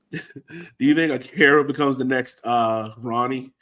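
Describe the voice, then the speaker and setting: A man's voice: medium pitch, fairly even intonation, measured pace, fairly bright tone. A man co-hosting a soccer fan show, talking casually and putting questions out for discussion. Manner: conversational, poses questions to co-host. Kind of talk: casual sports chat.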